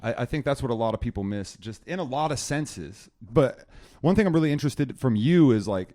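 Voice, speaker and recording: A man's voice: mid-range voice, expressive, a little fast, dark tone. A man hosting a podcast, talking in a reflective, encouraging way and drawing his guest out. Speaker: podcast host. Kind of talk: casual conversation.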